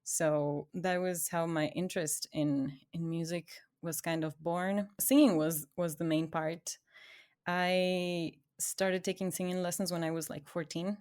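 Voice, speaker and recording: A woman's voice: lowish voice, steady tone, unhurried. A woman from Ecuador, co-hosting a podcast, telling her own story in a casual, chatty way and laughing often. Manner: chatty, laughs often, trails off quietly at phrase ends. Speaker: podcast co-host.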